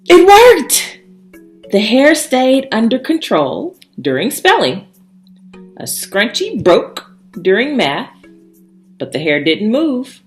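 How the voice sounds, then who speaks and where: Animated, slow-paced woman reading a storybook. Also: fairly high pitch. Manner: dramatic pauses between short phrases, emphatic. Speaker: storybook reader. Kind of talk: expressive children's read-aloud narration. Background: background music.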